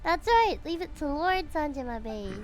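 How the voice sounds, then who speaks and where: Fairly high-voiced woman streamer. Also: moderate pace, soft background music. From Philippines. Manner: animated asides and playful fan remarks. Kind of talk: reading game dialogue aloud with reactions.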